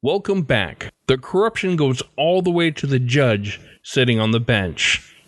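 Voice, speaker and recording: A man's voice: mid-range voice, lively intonation, unhurried, no echo. A man hosting a podcast, reading a scripted show outro. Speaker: podcast host. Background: faint music.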